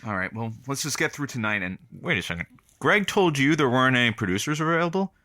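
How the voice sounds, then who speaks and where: Excitable, bright-voiced male co-host. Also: mid-range voice, fast. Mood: grumbling, agitated. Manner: wry, grumbling, excitable. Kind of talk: comedic radio show acting.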